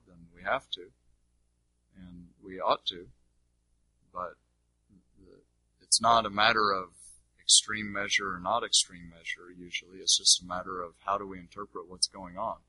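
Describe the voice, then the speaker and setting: Guy teaching in a q&a, lowish husky voice, super dry close sound, keeps checking you follow. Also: little pitch movement, normal speed, bright, forceful tone, dry recording, rough recording. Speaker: teacher answering audience questions. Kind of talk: unscripted teaching in a question and answer session.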